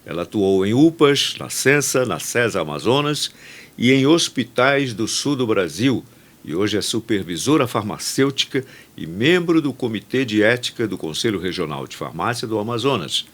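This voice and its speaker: A man's voice: fairly low pitch, sing-song, moderate pace. A man with a Brazilian accent hosting a podcast, introducing and interviewing in a conversational way.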